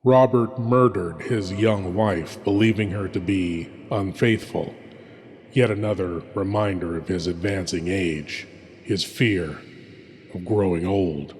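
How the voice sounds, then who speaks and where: A man playing a sinister, theatrical horror show host, ominous and full of dramatic pauses. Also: sits low, a little mellow. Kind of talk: theatrical scripted horror hosting. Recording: long hall reverb, faint music.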